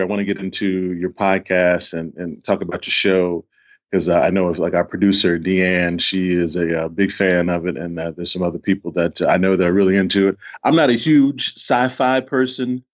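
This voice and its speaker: A man hosting a podcast, chatting casually and informally, often repeating himself as he goes. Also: low voice. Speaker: podcast host. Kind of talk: casual interview chat. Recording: slightly dull, lo-fi microphone.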